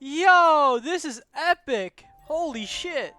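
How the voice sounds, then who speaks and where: A young adult woman giving casual, excitable livestream commentary as she plays a game, reacting freely and swearing. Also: high voice, slow, no room sound, soft background music. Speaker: game streamer.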